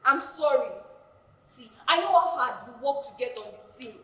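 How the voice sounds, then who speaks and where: An actress playing a soothing young female teammate who keeps trying to calm her friends. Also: high voice, moderate pace. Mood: apologetic. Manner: soothing, tries to calm others. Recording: echoey room.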